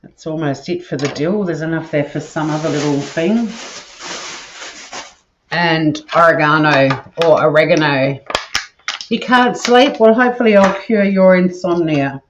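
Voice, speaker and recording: A nasal, somewhat monotone woman talks viewers through a recipe in an easygoing, chatty way from her home kitchen. The sound is dull and lo-fi, with a clear hiss of background noise underneath. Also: deep voice, measured pace. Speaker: cooking show host. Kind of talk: casual live cooking demonstration, greeting chat viewers.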